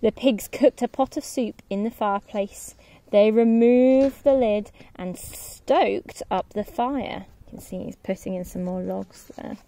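A woman teacher reading a picture book to young children, expressive, with casual asides. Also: mid-range voice, a little slow. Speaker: teacher and story reader. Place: outdoors on a grassy lawn.

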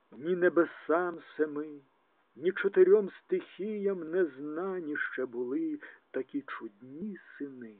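A man giving a dramatic poetry recitation in a solemn, declamatory way, letting phrase endings drop to a hush. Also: on the high side. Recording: tinny phone-like narrow band, dry.

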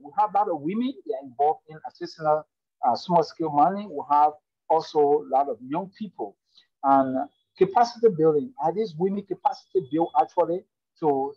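A man's voice: fairly high pitch, husky, dry recording. A man, speaking earnestly with many fillers and restarts as a webinar participant on a video call from a public space. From Liberia. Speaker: webinar participant asking a question. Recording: dry, dull lo-fi call audio.

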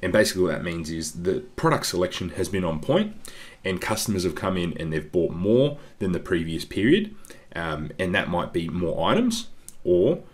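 A man hosting an investing channel, talking casually through slides with a congested voice, often calling his viewers "guys" and filling pauses with ums. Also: low voice, big pitch swings. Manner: chatty, keeps saying "guys", frequent ums. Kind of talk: casual stock analysis over slides. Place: voice-over on screen-share slides.

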